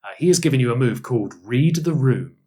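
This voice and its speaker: A man hosts a relaxed podcast conversation with a middling pitch. He questions his guest informally and teases lightly as he goes, keeping the banter jokey. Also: fast, slightly rough. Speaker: podcast host. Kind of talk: casual chat, interviewing a guest.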